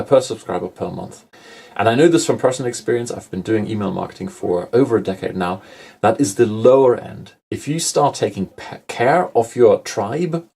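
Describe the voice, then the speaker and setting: A man's voice: mid-range voice, vocal fry, darkish tone, slightly rough. A middle-aged man giving a conversational, emphatic slide presentation, often correcting himself mid-sentence.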